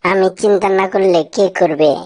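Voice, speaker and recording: A woman's voice: mid-range voice, steady tone, measured pace, darkish tone, dry recording, natural voice. A woman voicing a cheeky married man and joking buddy in a comic cartoon skit, with exaggerated, teasing delivery. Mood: teasing, incredulous.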